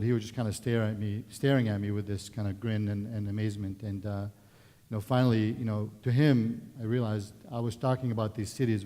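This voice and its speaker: Palestinian-accented man, mellow low voice. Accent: Palestinian Arabic. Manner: relaxed, conversational, many fillers and restarts. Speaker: guest speaker. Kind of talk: personal testimony at a worship service. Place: church sanctuary. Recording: long church reverb.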